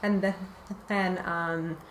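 Slow-talking hesitant woman from Canada. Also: fairly low pitch, steady tone. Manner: hesitant, many fillers, laughs often. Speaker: guest, researcher. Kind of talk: casual conversational interview. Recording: roomy with faint ambient noise.

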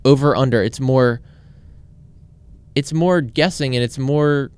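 A man's voice: mid-range voice, measured pace, a little mellow, clean tone, no echo. A man co-hosting a casual sports podcast, with a dry, teasing way of talking. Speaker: podcast co-host.

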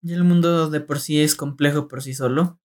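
A young man co-hosts a casual podcast. He often turns to address the listeners directly and shares advice in a relaxed, conversational way. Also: on the high side, moderate pace. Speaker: podcast co-host.

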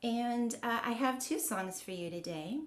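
A woman's voice: on the high side, unhurried, roomy sound. An American woman from Wisconsin, a musician, casually introducing herself in a hesitant, giggly way. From northern Wisconsin, USA. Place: online event on video call.

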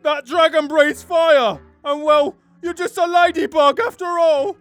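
A man's voice: very high-pitched, unhurried, a bit bright, no echo, soft background music, pitched above the performer's own voice. A man voicing timid, doubtful villagers in a children's story, hesitant and nervous.

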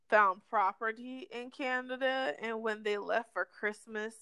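A woman co-hosting a podcast in casual chat, reacting with lively exclamations and slang. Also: mid-range voice, clean tone. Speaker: podcast co-host.

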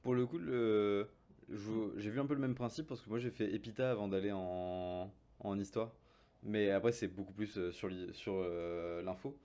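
A young man with a lowish pitch and a warm, mellow tone, chatting in a relaxed, slangy way. He pauses now and then on small fillers. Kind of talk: casual conversation.

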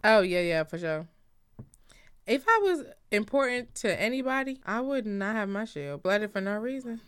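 A woman's voice: medium pitch, lively intonation, normal speed, a bit bright, clear, resonant tone, no room sound. A woman from Guyana co-hosting a podcast, gossiping casually with slang and acted-out quotes. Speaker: podcast co-host.

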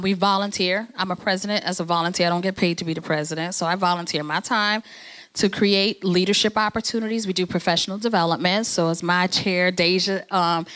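A woman's voice: fairly low pitch, fairly bright tone. Woman, organization president, networking speech, conversational, encouraging, from New Jersey. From New Jersey, USA.

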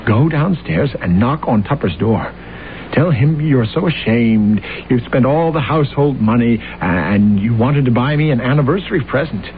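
A man's voice: medium pitch, close to an everyday voice. A man playing a grandiose scheming husband. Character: scheming boastful male lawyer, grandiose husband. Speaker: radio drama actor. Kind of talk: 1970s radio drama acting. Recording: tinny hissy old radio broadcast.

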